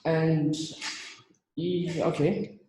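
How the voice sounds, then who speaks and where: Young Kenyan guy, slow and halting, mid-range voice, echoey room. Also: fairly high pitch, little pitch movement, warm tone, slight background noise. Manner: halting, many fillers and restarts. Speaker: team member presenting himself. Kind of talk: casual slide presentation.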